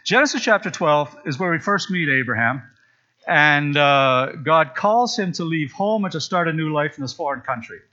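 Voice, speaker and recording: A man from Zambia preaches to his congregation in a relaxed, storytelling way, full of asides. His voice is bright and a little high. The recording sounds muffled and lo-fi. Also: moderate pace. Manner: chatty, storytelling, with frequent asides. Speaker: pastor. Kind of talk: sermon. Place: church sanctuary.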